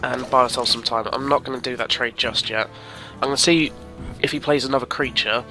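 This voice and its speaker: A man's voice: medium pitch, fairly even intonation, brisk, over music, poor audio. A man with a cold, sniffling as he chats casually through his card game, thinking aloud and rambling. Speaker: gaming commentator. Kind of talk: casual let's-play commentary.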